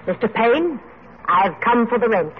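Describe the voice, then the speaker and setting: An actress playing a sour, sharp-tongued landlady, curt, suspicious and scolding, in an old radio drama. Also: a little high, sing-song, unhurried. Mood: demanding. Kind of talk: 1940s-50s radio drama acting. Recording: tinny, noisy old radio recording.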